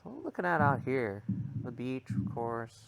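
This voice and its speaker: A man's voice: medium pitch, lively intonation, brisk. A man giving casual gameplay commentary, talking to himself as he plays. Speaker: gamer.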